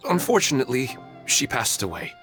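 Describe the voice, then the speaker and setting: A man's voice: medium pitch, very animated, a little fast, a bit bright, faint music behind. A man voicing a polite, wistful widower in a video game, speaking hesitantly and courteously. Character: polite, wistful widowed townsman seeking a dream.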